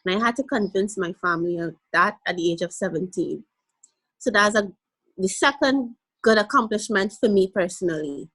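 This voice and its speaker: A young woman from Guyana with a mixed Guyanese and Caribbean accent, talking as a guest in a casual interview in an animated, playful way and laughing easily. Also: medium pitch, normal speed, breathy edge, rough recording.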